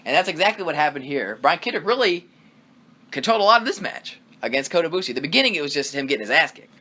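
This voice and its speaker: A man co-hosting a casual wrestling review podcast, talking with excitement, rambling and swearing freely. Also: fairly high pitch, quick pace. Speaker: podcast co-host.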